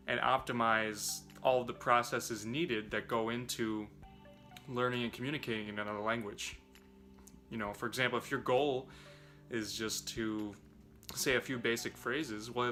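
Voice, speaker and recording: Bright-voiced young man giving language tips. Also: mid-range voice. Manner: earnest, explanatory, addresses viewers as "you guys". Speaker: language learning YouTuber. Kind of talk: direct-to-camera tips video.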